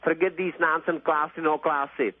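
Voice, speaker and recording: A man's voice: on the high side, fairly even intonation, moderate pace, dry recording. Effusive man on a tinny phone line. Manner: effusive, rambling, repetitive, mildly profane. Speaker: phone-in caller. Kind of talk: casual, opinionated radio call-in chat. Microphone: telephone.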